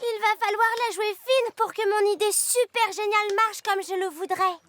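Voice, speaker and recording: Mischievous young boy, very high voice, eager, exaggerating, cartoon delivery, music behind. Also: steady tone, normal speed. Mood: scheming, excited. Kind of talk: animated cartoon acting in French dub.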